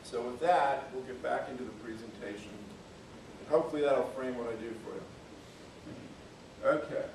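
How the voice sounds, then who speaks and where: A man's voice: medium pitch, moderate pace, gravelly, pauses often, a little hiss or hum. A middle-aged man, giving a conference presentation in a conversational, emphatic way. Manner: folksy, conversational, emphatic on key points. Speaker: public works official giving a talk. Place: university lecture room. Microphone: speaking away from the microphone. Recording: very echoey room, voice far from mic.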